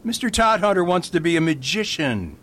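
A gentle, whimsical priest detective voiced by a man in a radio play. His voice rises and falls in lively swings and sits a little high. A faint rumble lies under the recording. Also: brisk. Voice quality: mild, patient, whimsical. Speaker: Father Brown. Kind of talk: amateur radio drama acting.

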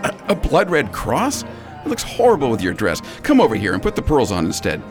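A man playing an excitable, bewildered fiancé. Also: mid-range voice, fairly quick, over music. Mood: affectionate, puzzled. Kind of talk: radio drama acting.

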